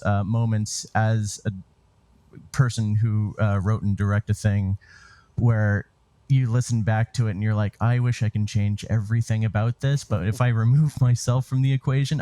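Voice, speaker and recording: A man speaking as an interview guest, answering casually in a halting, informal, hesitant way. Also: mid-range voice, mellow, no room sound. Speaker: interview guest, theatre director and writer.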